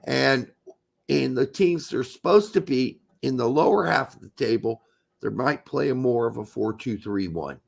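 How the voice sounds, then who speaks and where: Man, hoarse, gravelly, emphatic, casual podcast banter. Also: medium pitch, lively intonation, moderate pace. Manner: emphatic, argumentative, swears. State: hoarse, losing his voice. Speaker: podcast co-host. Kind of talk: casual soccer talk.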